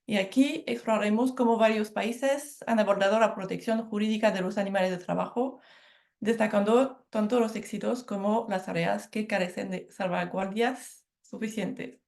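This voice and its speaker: A French woman speaking Spanish with a French accent, giving a measured academic presentation and correcting herself on harder words. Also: mid-range voice, steady tone, vocal fry, a little mellow. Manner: measured, careful, with self-corrections on hard words. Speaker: lecturer.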